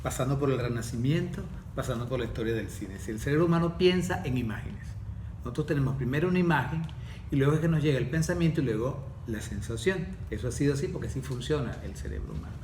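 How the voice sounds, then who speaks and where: A man with a Venezuelan accent, explaining in a measured, lecturing way in a podcast and answering his own questions. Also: medium pitch, expressive, darkish tone, roomy sound, far from the mic, slight background noise. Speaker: course facilitator, guest.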